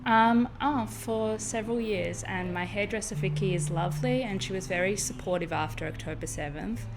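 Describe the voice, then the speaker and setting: A woman, a salon customer, talking softly and hesitantly. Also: medium pitch, normal speed, music in the background.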